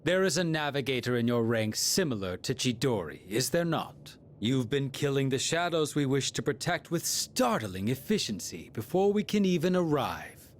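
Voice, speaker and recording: An actor playing a coldly courteous game villain with formal, archaic, taunting speech who preaches like a false prophet. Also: male voice, medium pitch, big pitch swings, normal speed, hardly any pauses, slight background noise, close to an everyday voice. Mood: menacing, taunting. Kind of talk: English video game voice acting. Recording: music under the voice.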